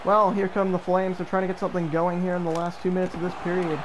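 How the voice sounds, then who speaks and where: A young man doing casual play-by-play of a hockey video game with a friend, joking around and bursting into excited calls. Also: high voice, fast, crowd noise, background noise.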